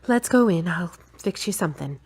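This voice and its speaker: Female lead, audio drama: frank, stubborn girlfriend with a lowish voice, brisk and a little bossy acted by a woman. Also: animated, a little mellow, breathy edge. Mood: frank, weary.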